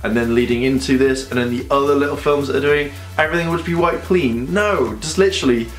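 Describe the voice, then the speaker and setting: Male vlogger, nasal from a cold, excitable ranter, mid pitch, echoey room. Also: fast. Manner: animated, rambling, with sudden high-pitched outbursts. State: congested from a cold. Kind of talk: casual opinion vlog to camera. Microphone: camera on a tripod. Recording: echoey room with faint music.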